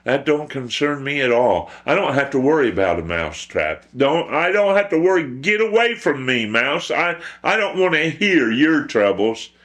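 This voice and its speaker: A man's voice: on the high side, very animated, moderate pace, few pauses, fairly bright tone, voice pushed up. A man voicing an irritable pig in a storytelling, repeating itself as it dismissively brushes someone off. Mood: dismissive, irritated. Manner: repetitive, dismissive.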